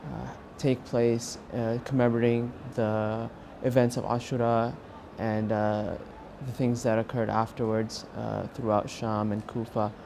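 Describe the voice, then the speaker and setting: A young man with an American accent giving a personal testimony in an earnest, halting way with pauses. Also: medium pitch, flat delivery, gravelly, mellow, a little hiss or hum. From California, United States. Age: young adult. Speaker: university student interviewed as a pilgrim.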